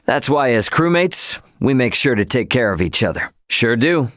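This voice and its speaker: A man playing a brash, easygoing young spaceship crewman in a video game, with casual, slangy, expressive speech. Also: mid-range voice, normal speed, no echo, studio quality. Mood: earnest, friendly. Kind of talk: video game character voice acting.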